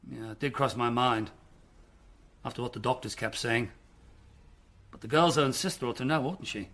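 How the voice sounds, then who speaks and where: A man's voice: medium pitch, moderate pace, a bit bright, close to an everyday voice. An actor playing a self-important but easily frightened male small-town newspaper editor, theatrical and flustered, in a radio drama. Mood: sheepish.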